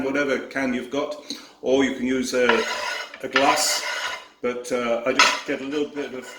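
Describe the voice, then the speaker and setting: A man with a Yorkshire accent giving a relaxed cooking demonstration in his home kitchen, easygoing and self-deprecating as he works. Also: medium pitch, slight background noise. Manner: easygoing, self-deprecating, talks while working. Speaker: cooking video host. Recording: echoey kitchen with clattering dishes.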